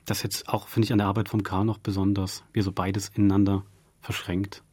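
A man's voice: fairly low pitch, level tone, fairly quick, a little mellow, no room sound. A man talking conversationally as a director interviewed in a radio studio.

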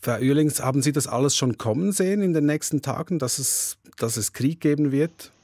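A man's voice: a little high, fairly quick, darkish tone, no room sound, studio quality. A man hosting an interview, putting questions and summaries to his guests. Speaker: host, interviewer.

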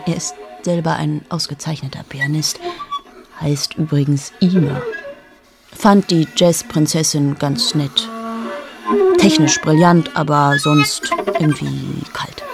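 A low-pitched woman in her late thirties confides in a radio play monologue. She is cool and self-absorbed, hushed and deliberate, often sinking towards a whisper, with faint music around her. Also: creaky. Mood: rueful, detached. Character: 38-year-old female journalist, cool, amoral, confessional and self-absorbed.

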